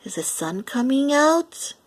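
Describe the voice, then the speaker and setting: A woman reading a picture book aloud for young children, animated and deliberate, acting out the lines as she goes. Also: high-pitched. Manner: animated, deliberate, acts out lines and sound effects. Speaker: children's story reader.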